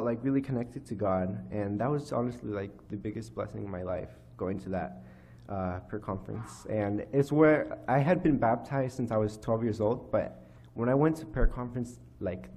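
A teenage boy giving a personal testimony at a church lectern, speaking haltingly with many fillers and restarts. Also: lowish voice, a little mellow, slight background noise. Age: teens. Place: church hall, indoors. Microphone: microphone on a lectern stand.

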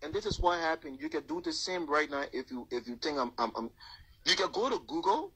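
A middle-aged Liberian man with a Liberian English accent speaks through earphones on a phone video call from his car. His voice is bright and a little husky, and he stumbles through repeated words as he explains himself. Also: a little high, expressive, fairly quick, low-quality recording. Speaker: guest on a live video call. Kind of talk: casual live-stream chat. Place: inside a parked car.

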